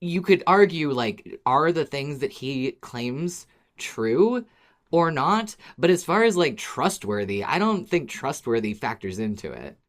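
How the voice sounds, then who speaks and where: A woman with an exceptionally deep voice chats as a podcast co-host. She is expressive and informal, doubling up words when she wants to stress a point. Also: a little slow. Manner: expressive, given to repeating words for emphasis. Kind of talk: casual chat about an audio drama.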